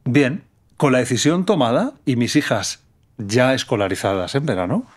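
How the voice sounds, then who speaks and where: A middle-aged man talks in a reflective interview conversation, with an accent from Spain and a mid-range pitch. He feels his way through thoughts, pausing and repeating key words for emphasis. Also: lively intonation. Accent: Spanish from Spain. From Menorca, Spain.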